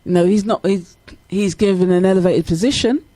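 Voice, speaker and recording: Female radio presenter, mellow and encouraging, conversational interview delivery, close dry studio sound. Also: medium pitch, fairly quick, no room sound. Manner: encouraging, affirming. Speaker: radio show host.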